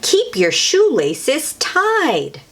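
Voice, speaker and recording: Woman reading as an earnest, slow-talking police officer. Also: sits high, huge pitch swings, voice pushed up, exaggerated voice. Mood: instructive. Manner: deliberate, emphatic, instructive. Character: earnest male police officer reciting safety tips. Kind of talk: children's storybook character reading.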